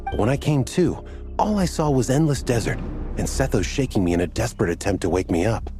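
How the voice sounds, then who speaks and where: A man's voice: medium pitch, lively intonation, fairly quick, warm tone, soft background music, close to an everyday voice. A man voicing an earnest, flustered man who meanders and gets sidetracked while recounting a strange ordeal. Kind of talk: game cutscene dialogue.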